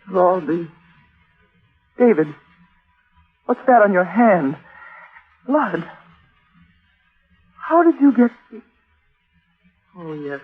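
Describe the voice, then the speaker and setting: A woman's voice: fairly low pitch, breathy edge, exaggerated voice. Dramatic young woman, muffled old radio. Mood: afraid, pleading. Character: frightened dying young woman in love, remembering. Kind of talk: 1940s radio acting.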